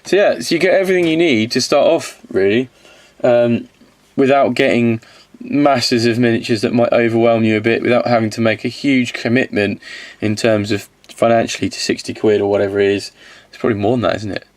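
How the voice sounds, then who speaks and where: A man with a slight cold-hoarse voice, casually reviewing miniatures, rambling and correcting himself as he goes. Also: mid-range voice, brisk, few pauses, dry recording. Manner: rambling, self-correcting, counts aloud.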